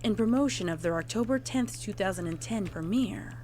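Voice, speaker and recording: A woman's voice: mid-range voice, lively intonation, a little mellow. Formal woman narrator over humming noise. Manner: formal, measured. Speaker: narrator of a horror fan fiction reading. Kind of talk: scripted narration of formal incident report.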